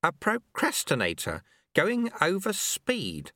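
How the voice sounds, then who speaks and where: A man voicing a chatty, excitable old monk mentor who fusses and exclaims as he goes. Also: sits high, slow, dry recording, clean studio sound, pitched above the performer's own voice, heavily acted. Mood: alert, fussing. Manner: exclamatory, fussy, rambling.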